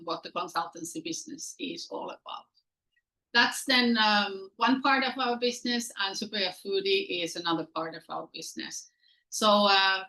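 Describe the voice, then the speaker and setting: Bright-voiced Finnish woman presenting. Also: medium pitch, poor audio. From Finland. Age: middle-aged. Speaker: guest presenter, company founder. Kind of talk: informal webinar presentation. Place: online webinar.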